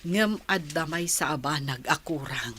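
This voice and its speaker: An actress playing a gentle older adoptive mother in a radio drama, with a low husky voice and an Ilocano accent. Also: measured pace, a little hiss or hum. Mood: gentle, pleading.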